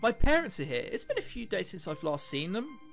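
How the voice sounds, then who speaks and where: A man's voice: high-pitched, very animated, fairly quick, vocal fry, a bit bright, soft background music. A British man hosting a let's play, reading a visual novel aloud and adding chatty, jokey commentary. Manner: jokey, chatty asides between read passages.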